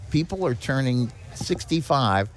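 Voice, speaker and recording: Older male podcast guest, medium pitch, folksy and emphatic, conversational explainer who drives points home by repeating them. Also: lively intonation, a little slow. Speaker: podcast guest, head of a seniors' nonprofit. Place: on location at a garage.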